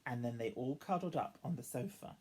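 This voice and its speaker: A woman's voice: extremely low pitch, a little fast, slight background noise. A woman reading a children's storybook expressively, as a storyteller who acts out the dialogue. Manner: animated, acting out each character's dialogue.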